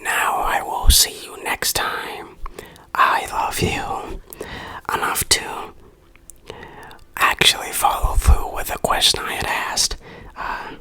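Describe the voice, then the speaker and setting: An ASMR host reviewing in a breathy, unvoiced whisper, with a playful, dryly self-mocking manner. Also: sharp tone, low-quality recording.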